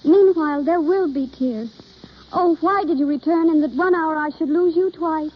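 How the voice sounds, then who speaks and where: An actress playing an eager, nervous, apologetic young amateur in an old-time radio drama, halting and earnest, on a dull vintage recording. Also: female voice, sits high, close to an everyday voice. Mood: trembling, romantic.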